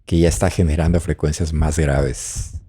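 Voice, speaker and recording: A man presenting a music production tutorial, explaining as he demonstrates and thinking aloud in short phrases with pauses. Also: a bass voice, level tone, dark tone, slightly rough. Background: drum loops playing.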